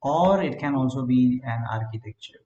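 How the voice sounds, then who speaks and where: A man lecturing a class who often checks in with "right?", repeats words and dictates notes slowly. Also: mid-range voice, lively intonation, warm tone, a little hiss or hum. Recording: echoey classroom, dull lo-fi sound.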